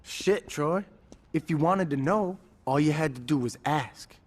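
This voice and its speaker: A man's voice: on the high side, unhurried. A man acting an easygoing but businesslike street gang lieutenant in a video game.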